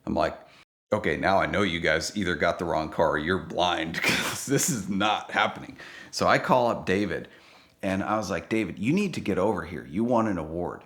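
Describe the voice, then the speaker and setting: Deep-voiced man telling a story. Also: normal speed. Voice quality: low voice. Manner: animated, acts out the dialogue in his story. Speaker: car owner telling a story. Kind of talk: casual storytelling.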